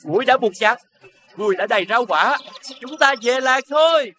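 A man's voice: very high-pitched, expressive, moderate pace, sharp tone, exaggerated voice. A man playing a kind, cheerful young villager in a folk-tale cartoon. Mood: gentle.

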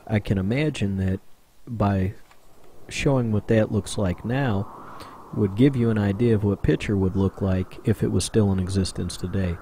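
Rambling low-voiced man narrating his explorations. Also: normal speed, dark tone, a little hiss or hum. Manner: rambling, conversational, with many fillers. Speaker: urban explorer vlogger. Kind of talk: casual voice-over narration.

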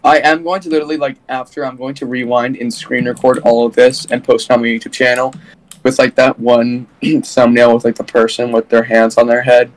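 A man's voice: mid-range voice, level tone, a little fast, poor audio. A young man hosting a game session with friends, explaining things with hesitant restarts. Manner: hesitant, stumbles over words while explaining. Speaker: streamer and builder. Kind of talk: casual gaming chat.

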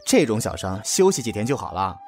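A young male army officer played by a man in a radio drama. He is stubborn and brusque, teasing with a fond undertone. His pitch moves in a very lively way, with music clearly behind him. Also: fairly quick. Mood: gruff, teasing. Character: proud, stubborn young male army battalion commander, gruff but fond. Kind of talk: Chinese audio drama acting. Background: background music.

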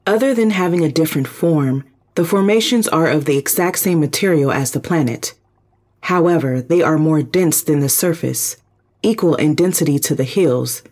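An actor playing a calm spaceship computer in a sci-fi audio drama, giving formal, precise reports. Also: mid-range voice, moderate pace, husky. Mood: neutral, precise.

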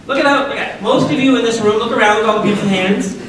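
Deep-voiced woman speaking in an echoey hall. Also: rapid-fire, slight background noise. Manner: upbeat, chatty, asks the audience questions. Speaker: guest speaker at a school assembly. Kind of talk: lively talk to students. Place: large school auditorium. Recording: echoey auditorium from far off.

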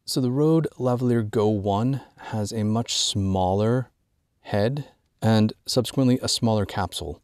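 A man presenting an informal instructional product walkthrough, drawing out key words in a measured way for emphasis. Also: on the low side, darkish tone, dry recording, clean studio sound. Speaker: gear tutorial presenter.

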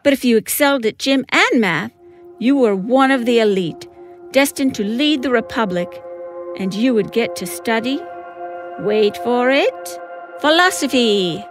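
A woman's voice: a little high, expressive, a little slow. A woman reading scripted comic narration with theatrical, wry emphasis. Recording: music behind the voice.

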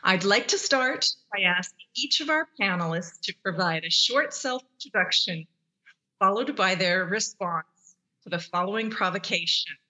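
A middle-aged woman from Canada moderating a panel, speaking in a clear, measured way over a video link with an earphone mic. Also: mid-range voice, sharp tone, low-quality recording. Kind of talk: scripted panel introduction. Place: plain room, remote video link. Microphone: earphone headset mic.

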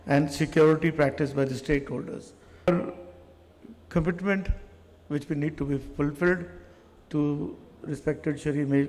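A middle-aged man, an association president giving a halting conference speech at a podium. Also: a little high, darkish tone. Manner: halting, stretches out key words. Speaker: president of a tour operators association. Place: large conference hall, at a podium. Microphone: podium microphone. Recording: reverberant conference hall.

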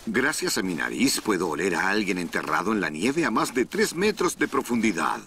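A man's voice: on the low side, big pitch swings, normal speed, sharp tone, slight background noise. A man voicing a big, friendly, dutiful Saint Bernard rescue dog in a children's cartoon. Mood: eager, proud. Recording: music behind the voice.

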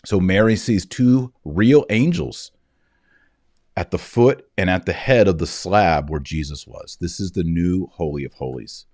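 A man in his forties reading scripture and explaining it in an earnest, emphatic way with reflective pauses. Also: sits low, a little mellow, no room sound, studio quality. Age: 40s. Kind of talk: scripture reading with devotional commentary. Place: indoors, wood-panelled office, seated at a desk.

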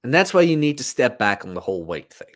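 A man's voice: medium pitch, big pitch swings, quick pace. A man in his thirties giving a casual, informal livestream monologue as a fitness coach, rambling and emphatic. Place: small room at home, indoors.

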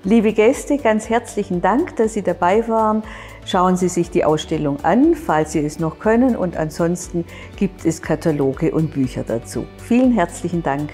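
A middle-aged woman interviewing an artist in a museum gallery, measured and appreciative, then thanking the audience formally. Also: fairly low pitch, animated, warm tone, soft background music. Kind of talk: formal interview and closing address.

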